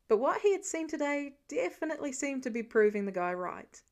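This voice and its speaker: A woman reading a story aloud as an audiobook narrator, expressive and scripted. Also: high-pitched, a little fast.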